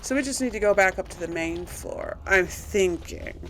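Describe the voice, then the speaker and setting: A woman's voice: medium pitch, moderate pace, slight background noise. A woman giving casual, chatty let's play commentary, thinking aloud and asking herself questions as she goes. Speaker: video game streamer and series host.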